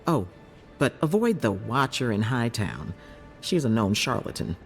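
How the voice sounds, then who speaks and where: An actor voicing a caring, inquisitive healer in a video game, with a measured, advising manner. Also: male voice, medium pitch, big pitch swings, creaky, faint music behind. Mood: reassuring, advising. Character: keen, caring clinic healer, intrigued by strange souls. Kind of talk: video game character dialogue.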